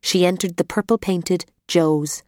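A woman narrating an audiobook in a measured, expressive storytelling manner, recorded in a studio. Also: lowish voice, no echo.

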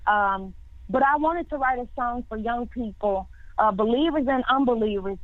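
A woman's voice: fairly high pitch, normal speed, no room sound. A woman in her twenties, a singer, answering interview questions in a casual way, often repeating words and restarting. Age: 20s. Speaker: singer guest. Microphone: phone line. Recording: tinny dry phone line.

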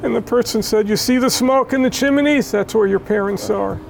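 A woman with an American accent giving emotional family testimony in an interview, tearful, telling it in a halting, emphatic way. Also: on the high side, creaky, a little hiss or hum, low-quality recording. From born in Israel, raised in Los Angeles. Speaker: interview guest, child of Holocaust survivors.

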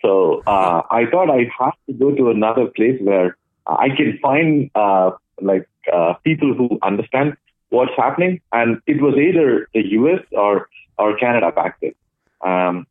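A man's voice: medium pitch, lively intonation, normal speed, no room sound. A man from Chennai, India, answering interview questions thoughtfully, with frequent hesitations. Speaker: guest, blockchain project lead. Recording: tinny phone line.

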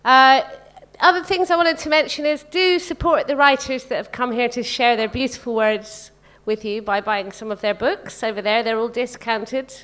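High-pitched woman hosting a live event. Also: steady tone, normal speed, fairly bright tone, clear, resonant tone. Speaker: event host making announcements. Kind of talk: informal live stage announcements. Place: live literary event before an audience.

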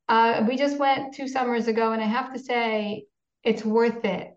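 A woman's voice: fairly high pitch, little pitch movement, normal speed. Businesswoman in her 40s, candid, home office, dull roomy call audio. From Poland. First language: Polish. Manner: candid, dry humor, frequent "uh" fillers. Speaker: guest, company CEO. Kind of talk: casual interview conversation. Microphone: video call.

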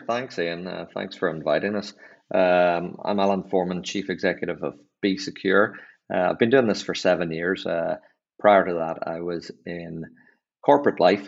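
A middle-aged man with a Northern Irish accent, explaining things in a measured, conversational way as an interview guest on slightly dull, lo-fi audio. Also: deep voice, vocal fry. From Northern Ireland. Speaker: chief executive, podcast guest.

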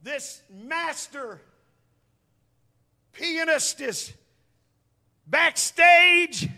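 A man's voice: very high-pitched, extremely slow, gravelly, pushed and bright. A man preaching a sermon, emphatic and calling on the congregation to answer back. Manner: emphatic, calls on the congregation to respond.

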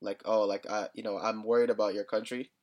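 A man's voice: fairly low pitch, level tone, brisk. Hesitant young man on a podcast. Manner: hesitant, many "like" fillers, chuckles. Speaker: podcast co-host. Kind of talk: casual chat.

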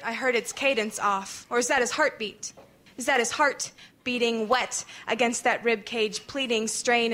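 Higher-voiced woman reciting intense spoken word poetry. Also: a bit bright.

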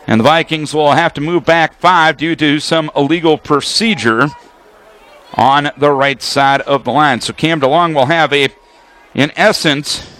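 A man's voice: a little high, pushed and bright. An American man calling football play-by-play as a radio announcer, in precise, detailed, clipped phrases. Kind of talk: live sports play-by-play commentary.

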